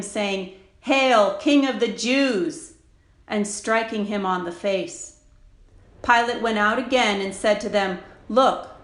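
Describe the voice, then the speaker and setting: Female scripture reader, slow and measured, mid-range voice, roomy echoing recording. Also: medium pitch, a bit bright. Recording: echoey, reverberant room sound.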